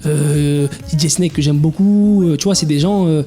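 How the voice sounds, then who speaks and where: A man's voice: a little high, moderate pace, warm tone. A young man in his twenties, a DJ, talking casually in an interview, hesitant with many restarts and fillers. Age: 20s. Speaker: DJ guest.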